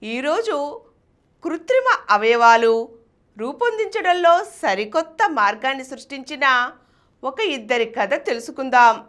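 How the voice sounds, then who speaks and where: A woman's voice: high voice, lively intonation, a little slow, a bit bright. A woman presenting a feature as scripted narration.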